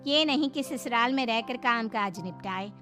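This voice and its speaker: Brief: actress as sharp-tongued gossiping neighbourhood woman, very high, needling voice, cartoon tale over music. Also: lively intonation, moderate pace. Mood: disapproving. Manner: nosy, needling. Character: gossipy, sharp-tongued female prayer-gathering guest.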